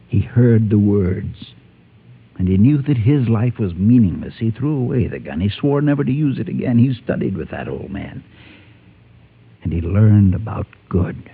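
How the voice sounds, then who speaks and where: A low, husky man's voice carries a former gunfighter who became a preacher and is crushed by guilt. He confesses gravely and haltingly in vintage Western radio style. The recording is thin and tinny, like a telephone. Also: exaggerated voice. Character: haunted former gunfighter turned preacher, guilt-ridden man. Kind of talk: 1950s Western radio drama acting. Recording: muffled, tinny old-time radio recording.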